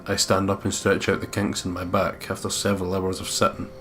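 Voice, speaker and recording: A man's voice: low voice, completely flat delivery, a little fast. A man reading a first-person story aloud as dramatic narration for a game playthrough, with the odd stumble. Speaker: let's play host reading a visual novel aloud. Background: loud game music.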